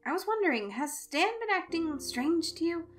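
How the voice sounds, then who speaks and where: Woman voicing an earnest, high-pitched college girl. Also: sing-song, moderate pace. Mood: concerned. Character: young female college student, concerned and assertive. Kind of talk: acted story dialogue. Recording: music behind the voice.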